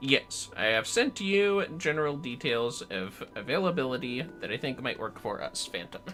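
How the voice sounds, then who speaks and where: Animated man livestreaming with a bright voice. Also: mid-range voice, moderate pace, faint music behind. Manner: sweary, playfully theatrical. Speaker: livestreaming gamer. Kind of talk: casual livestream gaming chat.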